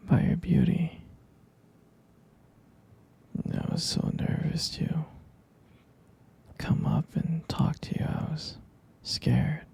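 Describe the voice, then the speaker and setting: A man playing a gentle, sleepy half-vampire boyfriend in an ASMR roleplay, murmuring soothingly and haltingly in a breathy, husky whisper. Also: fairly high pitch, exaggerated voice. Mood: soft, affectionate.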